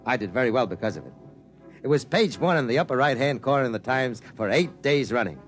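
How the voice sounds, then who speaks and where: A man's voice: mid-range voice, close to an everyday voice. Guy playing a jaded 50s newsman telling the story, world-weary, voice moves a lot, music faint in back. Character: jaded male newspaper reporter telling the story. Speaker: narrator and reporter. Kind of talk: 1950s radio drama narration.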